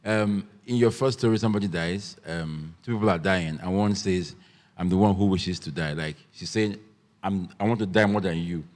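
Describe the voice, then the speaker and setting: Deep guy moderating a festival panel, laid back, lots of ums, echoey hall. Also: moderate pace. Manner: relaxed and joking, many ums. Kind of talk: literary festival panel conversation.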